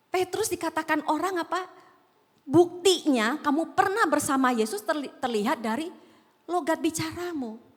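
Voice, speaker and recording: A woman pastor with a slight Javanese accent preaches to a congregation in a large auditorium. Her voice sits fairly high, and she keeps putting questions to her listeners. A long reverb from the hall trails behind her words. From Sumatra, Indonesia. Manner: conversational, asks the congregation questions. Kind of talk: sermon. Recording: echoing hall.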